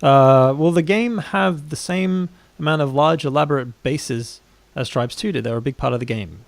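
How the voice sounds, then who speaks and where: A man's voice: on the high side, normal speed, gravelly, no echo. Male stream host, dry close sound. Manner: relaxed, loose questions. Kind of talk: casual live-stream interview.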